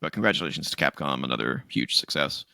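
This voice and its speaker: A man co-hosting a casual gaming podcast, laughing often and reading out news items in a relaxed drawl. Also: extremely low pitch, fast, vocal fry, no echo, studio quality. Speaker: podcast co-host.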